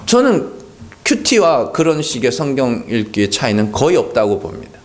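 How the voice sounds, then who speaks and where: Male pastor, sermon delivery, lively rising-and-falling voice, emphatic and chatty, keeps tossing questions at the pews. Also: medium pitch, normal speed. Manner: conversational, peppered with rhetorical questions to the congregation; emphatic and animated. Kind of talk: sermon at a Friday prayer meeting. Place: church sanctuary.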